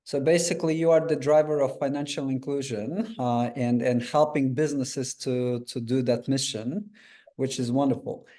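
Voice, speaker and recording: A man's voice: mid-range voice, steady tone, moderate pace, mellow. A man hosting a webinar over a video call, talking in a friendly, loose, conversational way. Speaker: webinar host. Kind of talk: webinar interview.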